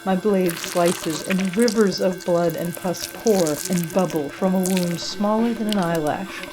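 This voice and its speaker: A woman's voice: fairly low pitch, unhurried, a crowd in the background, rough recording. A woman giving a cold, menacing, clinical first-person narration of a horror story. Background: music.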